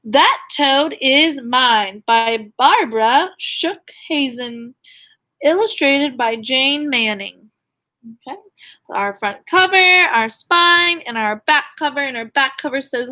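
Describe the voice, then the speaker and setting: A woman's voice: sits high, lively intonation, slow pace, sharp tone. A woman teacher reading a story aloud to young children, expressively, with questions and asides. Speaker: elementary school teacher.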